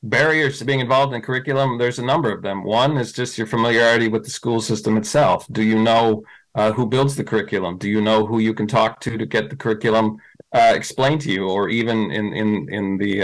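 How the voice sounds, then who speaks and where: A man's voice: lowish voice, poor audio. A middle-aged man answering questions as a school board candidate, thoughtful, with many fillers and restarts.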